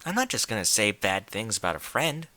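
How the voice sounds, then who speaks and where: Storybook casting: male reader as cocky young female pegasus, blunt and slangy, bright, animated delivery. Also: fairly low pitch, a little fast, dry recording. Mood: reluctant.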